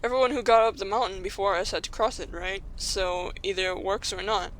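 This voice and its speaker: A woman's voice: medium pitch, steady tone, brisk, a little hiss or hum, close to an everyday voice, poor audio. A woman playing a brave, caring, level-headed human child in an audio drama, in a plain, natural way. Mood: calm, reassuring. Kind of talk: audio drama character acting. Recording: music under the dialogue.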